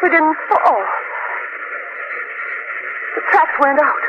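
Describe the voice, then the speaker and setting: An actress playing an anxious, excitable lady companion in a 1940s radio drama. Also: high-pitched, takes it slowly. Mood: frightened. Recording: dull, noisy old radio recording.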